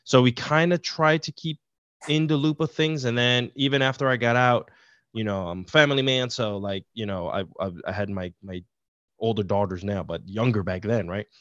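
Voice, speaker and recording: A man's voice: medium pitch, normal speed, a bit bright, no echo. Middle-aged man from Puerto Rico, guest, loose rambling storyteller; dull, dry video-call sound. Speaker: podcast guest. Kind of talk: casual interview chat.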